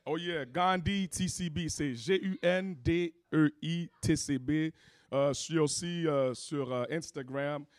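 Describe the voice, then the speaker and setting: A man's voice: a little high, takes it slowly. A man with a Haitian French accent, a rapper and singer, talking casually in an interview and mixing in English with lots of "you know what I mean". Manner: laid-back, peppers speech with "you know what I mean".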